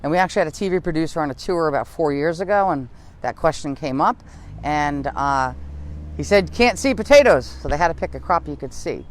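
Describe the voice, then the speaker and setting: An American woman with a lively, swinging delivery works as a guide reading local history live. Her voice sits a little low, and she moves easily between the written account and chatty asides to her listeners. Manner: engaged, conversational, pausing for asides and questions. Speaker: tour guide and reader. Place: outdoors, windy.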